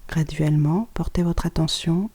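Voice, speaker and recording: A yoga nidra guide giving calm, soft-spoken instructions in short phrases with pauses, often close to a whisper. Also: mid-range voice, husky. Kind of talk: guided relaxation meditation, scripted instructions.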